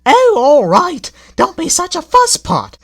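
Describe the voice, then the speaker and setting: A man's voice: very high voice, wildly swooping pitch, slow pace, a bit bright, voice pushed up, full character voice. A man voicing a pair of lazy, fussy old railway coaches who whine and squeal. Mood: whining. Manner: whiny, squealing, complaining.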